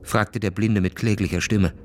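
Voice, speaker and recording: A man narrating a literary radio play in a measured, dramatic storytelling manner, acting out the quoted dialogue. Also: fairly low pitch, steady tone, slight background noise.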